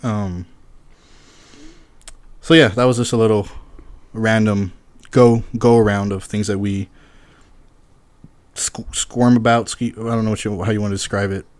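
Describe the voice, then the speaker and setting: A man's voice: lowish voice, steady tone, measured pace, pauses often. A man co-hosting a podcast in casual chat, rambling with restarts and frequent laughs. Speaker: podcast co-host.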